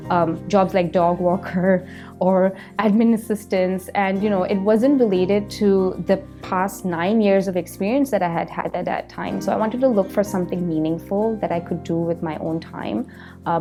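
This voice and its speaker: Woman, 30s, from Malaysia, founder in a documentary interview; mellow, gravelly voice, thoughtful and filler-heavy. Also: fairly low pitch. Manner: reflective, frequent "you know" fillers. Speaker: company founder.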